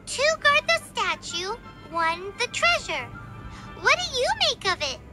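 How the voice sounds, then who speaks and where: A woman voicing a small, excitable, childlike fairy companion in a video game, squeaky and cutesy. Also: measured pace, loud music behind the voice, full character voice. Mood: excited. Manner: cutesy, exclaiming, speaks of herself by name.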